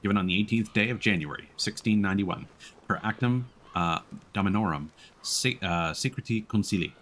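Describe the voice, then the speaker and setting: A man's voice: on the low side, fairly quick, fairly bright tone. A man streaming a video game, giving casual live commentary as he plays.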